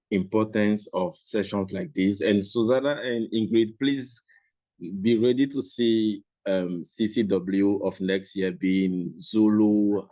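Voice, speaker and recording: A man with a low voice hosts a session at a slow, measured pace. He speaks in courteous, formal phrases as he thanks and addresses participants. The recording is dry, with little room sound. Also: mellow, dry recording. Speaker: session host. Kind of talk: formal closing remarks and thanks.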